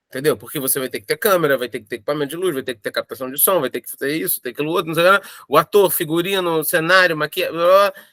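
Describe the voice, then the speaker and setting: Bright-voiced Brazilian man, casual and emphatic. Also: on the high side, no room sound, clean studio sound. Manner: informal, emphatic, repeats phrases. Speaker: audio drama creator. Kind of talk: casual making-of interview chat.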